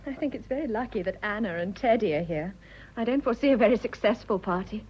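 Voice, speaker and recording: An actress playing a gently teasing, sociable wife with an upper-class English accent in a radio drama. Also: female voice, medium pitch, expressive, fast, slightly rough, lo-fi sound. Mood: gentle, wry. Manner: light, coaxing, politely sociable. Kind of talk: stage comedy of manners radio acting.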